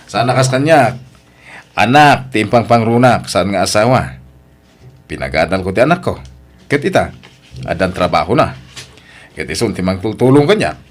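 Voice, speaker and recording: A man's voice: lowish voice, big pitch swings, moderate pace, pauses often. A man with an Ilocano accent acting a boastful, needling father in an audio drama, speaking in a smug, meddling way. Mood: smug, taunting. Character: boastful, needling father, proud of his grown child.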